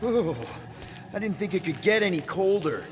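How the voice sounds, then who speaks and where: A man's voice: high voice, expressive, normal speed, background noise. An actor playing a casual, wisecracking space adventurer who leads his team in a video game. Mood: wry, casual. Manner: casual, glib, hesitant asides.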